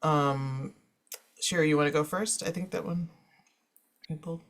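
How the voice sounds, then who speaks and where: Man, fairly high voice, panel moderator, informal presentation, discursive, lots of "you know". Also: fairly even intonation, normal speed, a bit bright.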